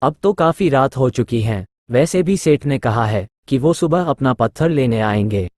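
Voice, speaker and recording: A man's voice: mid-range voice, animated, moderate pace, darkish tone, no room sound, studio quality, close to an everyday voice. A man voicing a naive, mild-mannered village priest in a cartoon story, simple and trusting in his talk. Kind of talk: Hindi cartoon story dialogue.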